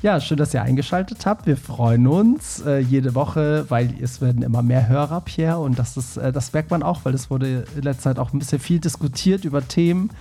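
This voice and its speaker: A German podcast host with a cold, his voice slightly nasal and husky with a gravelly edge. He chats loosely, laughing often and padding with fillers. Faint music plays underneath. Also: medium pitch, normal speed, hardly any pauses, warm tone. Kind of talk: casual podcast chat.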